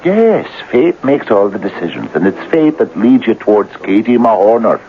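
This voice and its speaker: Fussing, animated peacemaker friend. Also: male voice, on the low side, normal speed. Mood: soothing, dreamy. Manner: hesitant, coaxing, excitable. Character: fussing, fate-obsessed best friend, placating peacemaker. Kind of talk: 1970s radio drama acting. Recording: muffled, hissy 1970s radio recording.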